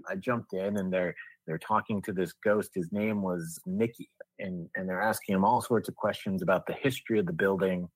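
A man's voice: low voice, fairly even intonation, normal speed, no echo, rough recording. A man co-hosting a podcast, telling a personal anecdote in a casual, conversational way, step by step. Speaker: podcast co-host.

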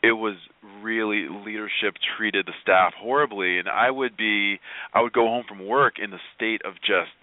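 A man speaking as an interview guest, telling personal stories in an expressive, emphatic and informal way. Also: fairly low pitch, moderate pace, dry recording. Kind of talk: conversational personal storytelling. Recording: phone-like narrow-band online call.

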